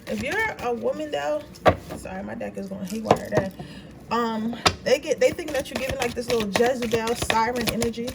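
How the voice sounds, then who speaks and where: A young woman with a raspy, gravelly voice talks through a tarot reading in a loose, rambling, slangy way. Her voice sits somewhat high. She is a bit away from the mic, with steady noise in the room. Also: brisk, distant mic. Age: 20s. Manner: chatty, rambling and informal, with slang. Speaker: tarot reader. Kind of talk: casual tarot reading chat.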